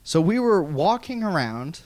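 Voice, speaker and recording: An American man telling a funny personal story in an animated, folksy way with some swearing. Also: fairly high pitch, a little slow, dry recording. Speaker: guest storyteller.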